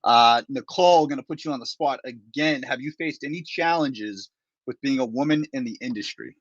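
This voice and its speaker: A man's voice: mid-range voice, normal speed, breathy edge, no echo, muffled recording. Boston-accented man moderating a live Q&A. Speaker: panel moderator. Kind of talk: hosting a live Q&A.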